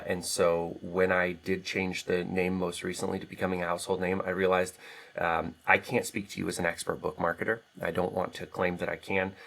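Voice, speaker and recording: Low, creaky-voiced American man. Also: bright, forceful tone. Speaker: author, podcast guest. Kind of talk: conversational interview answers.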